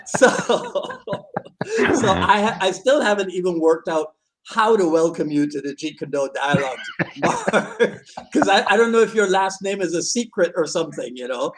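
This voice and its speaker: A man from Barbados, hosting a casual live-stream chat, jokey and quick to laugh, often repeating words as he goes. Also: high voice, big pitch swings, a loud crowd. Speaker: podcast host.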